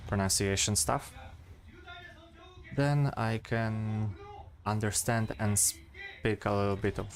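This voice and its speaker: A man in his twenties with a Polish accent, chatting casually to stream viewers and thinking aloud, with pauses and fillers. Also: fairly low pitch, slight background noise.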